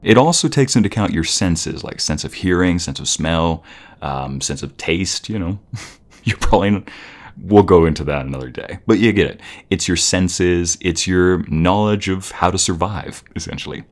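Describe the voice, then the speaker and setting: Low-voiced, playful boyfriend, acted by a man. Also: normal speed. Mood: cheerful, explaining. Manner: playful, teasing, chuckling, rambling. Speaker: audio roleplay narrator. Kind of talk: casual, intimate boyfriend roleplay.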